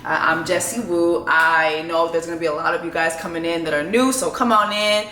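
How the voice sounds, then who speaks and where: A young woman with a bright, forceful tone and a slightly low voice talks casually to camera. She was raised speaking Haitian Creole and grew up in Canada. Her chat is loose and rambling, full of self-deprecating asides, and the room sounds echoey around her. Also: fairly even intonation, brisk, slight background noise. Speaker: vlogger and TV co-host.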